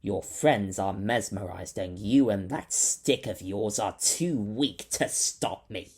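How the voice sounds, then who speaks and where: A man voicing a masked male creature with a slow, polite but menacing drawl. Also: on the low side, darkish tone, breathy edge, heavily acted.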